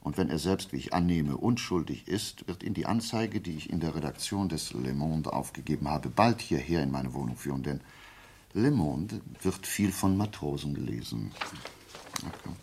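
An actor playing a brilliant, self-assured detective in a German radio play, explaining deliberately and prodding with rhetorical questions. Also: male voice, a bass voice, expressive, gravelly, darkish tone, breathy edge, full character voice. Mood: assured, explanatory. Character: brilliant, methodical male amateur detective, self-assured and theatrical.

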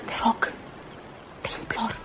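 An actress playing an earnest, lovelorn young woman in a Romanian radio play, speaking with halting intensity. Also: a bit bright, whispered, close to an everyday voice. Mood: pleading, emotional. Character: Susan, earnest lovelorn young woman, self-effacing but insistent. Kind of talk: Romanian radio theatre acting.

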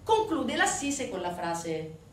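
A woman performing a theatrical monologue, telling a story conversationally to an audience with rhetorical questions and dramatic pauses. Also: medium pitch, very animated. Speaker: stage actress performing a monologue. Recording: roomy, distant stage recording with faint noise.

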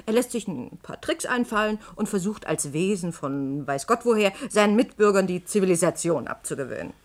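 An actress in a science-fiction radio play playing a skeptical, sharp-tongued radio journalist with a brisk, sardonic, challenging manner. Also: female voice, mid-range voice, lively intonation, natural voice. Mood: sardonic, heated.

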